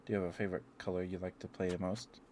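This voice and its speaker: A man's voice: sits low, flat delivery, very fast, a little mellow, slight background noise. A man livestreaming casual, rambling ASMR chat, talking to viewers in a hesitant, meandering, apologetic way.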